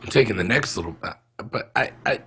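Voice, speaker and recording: A man co-hosting a podcast with a deep, calm voice, chatting casually. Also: fast, breathy edge. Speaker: podcast co-host. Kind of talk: casual chat.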